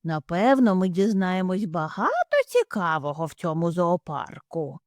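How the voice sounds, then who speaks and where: A woman voicing a silly, chatty mother hare who talks in bright exclamations. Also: lowish voice, big pitch swings, unhurried, dry recording, clean studio sound. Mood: musing.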